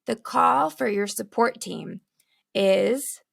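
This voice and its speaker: Hoarse woman with a gravelly creak. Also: fairly high pitch, slow, a bit bright, dry recording, studio quality. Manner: candid, laughing between points. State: losing her voice, hoarse. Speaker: podcast host. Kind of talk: casual teaching talk. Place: home with children nearby. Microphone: studio microphone, close.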